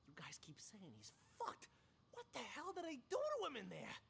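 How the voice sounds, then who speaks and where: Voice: medium pitch, huge pitch swings, moderate pace, creaky, a bit bright, slight background noise, heavily acted. An actor playing a crude, skeptical mental patient and nervous henchman, stammering and blustering in a radio drama style. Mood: skeptical, scornful. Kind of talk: interactive radio drama acting.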